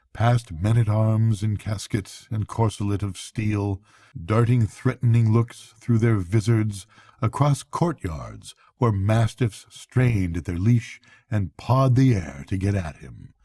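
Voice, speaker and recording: An older man narrating a bedtime story aloud as a storyteller, with measured, dramatic phrasing. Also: lowish voice, dark tone, no echo. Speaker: storyteller, grandfather reading aloud.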